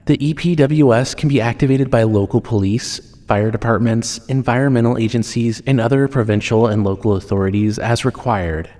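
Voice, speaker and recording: A man narrating a scripted horror story in a dramatic, measured storytelling manner. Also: mid-range voice, mellow, no room sound.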